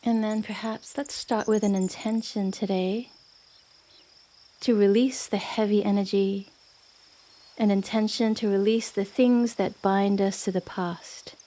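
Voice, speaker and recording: A woman guiding a yoga nidra meditation in a gentle, unhurried manner with long pauses. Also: medium pitch, steady tone. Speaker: meditation guide. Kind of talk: guided yoga nidra meditation, soothing narration.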